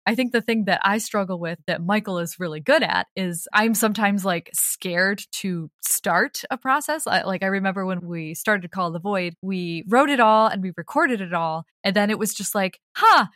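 A woman's voice: mid-range voice, moderate pace, few pauses, fairly bright tone, no room sound, studio quality. A woman speaking as a podcast guest and audio drama creator in a casual, conversational interview.

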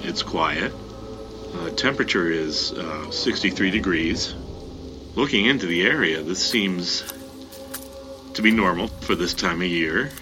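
A man playing a middle-aged, methodical professor who records field notes in a halting, precise way for a horror audio drama. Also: low voice, lively intonation, over music. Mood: methodical, uneasy.

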